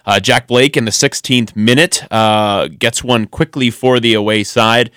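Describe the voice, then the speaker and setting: A man with a smooth, resonant voice co-hosts a casual sports chat from a radio studio. He sits mid-range in pitch and meanders, filling gaps with ums and restarting his sentences. The sound is dry and close. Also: steady tone, unhurried, a bit bright, no room sound. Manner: rambling with frequent ums and restarts. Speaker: podcast co-host.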